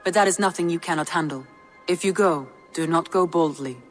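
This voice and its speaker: Game casting: actress for a calm female Viking counselor, lowish voice, deliberate, in a ringing hall. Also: lightly acted. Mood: shrewd. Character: composed, shrewd female Norse advisor and strategist. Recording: reverberant hall, music behind.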